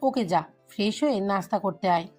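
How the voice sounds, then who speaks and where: A woman playing a concerned middle-aged mother in an audio drama, with a husky voice. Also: medium pitch, lively intonation, normal speed, a little mellow, faint music behind. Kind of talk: romantic audio story acting.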